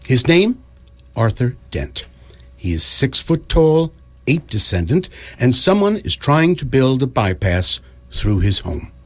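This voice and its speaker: A man narrating a comic radio drama from a script in a measured, wry storytelling manner. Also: mid-range voice, very animated.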